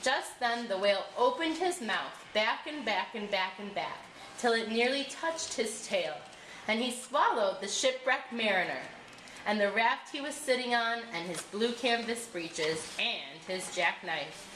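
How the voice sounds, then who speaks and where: A woman reading a scripted puppet show story aloud as narrator, with expressive delivery. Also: mid-range voice, unhurried. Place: school room. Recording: roomy room sound with faint noise.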